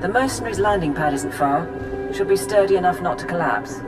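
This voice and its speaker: An actor playing a deferential, careful military support technician in a video game, giving crisp, formal reports. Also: medium pitch, lively intonation, fairly quick, over loud music, close to an everyday voice. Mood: dutiful.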